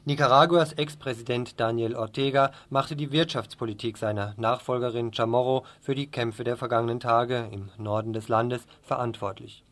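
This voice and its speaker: A man's voice: mid-range voice, fairly even intonation, moderate pace, hardly any pauses, fairly bright tone. A man presenting a scripted news report on the radio.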